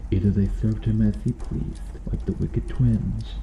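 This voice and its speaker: A man playing a stern medieval knight in an ASMR roleplay, questioning formally and earnestly with dramatic pauses. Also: on the low side, little pitch movement, warm tone, roomy sound, slight background noise, close to an everyday voice. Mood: solemn, insistent. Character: stern medieval knight interrogating an accused noble witch.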